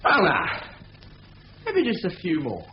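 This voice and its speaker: A man's voice: a little high, huge pitch swings, a little slow. A man acting an offhand, businesslike crook in a 1970s radio drama. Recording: dull, hissy 1970s radio recording.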